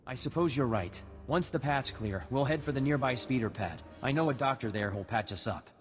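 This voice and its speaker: A man voicing an earnest, respectful young militia recruit in a video game, with a husky voice. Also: mid-range voice, lively intonation, fairly quick, long reverb tail, music in the background, close to an everyday voice. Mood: resigned.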